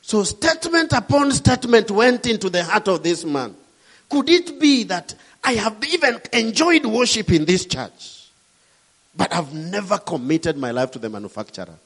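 A man's voice: high-pitched, very animated, moderate pace, a bit bright, slightly rough. A middle-aged man from Kenya with a Kenyan accent, preaching a sermon with emphatic, rising and falling delivery.

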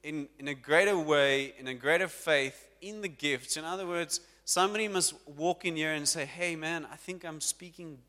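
A man preaching to his congregation as pastor, lively and talking directly to the people in front of him. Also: a little high, normal speed, few pauses. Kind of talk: sermon. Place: church hall. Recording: long church hall reverb.

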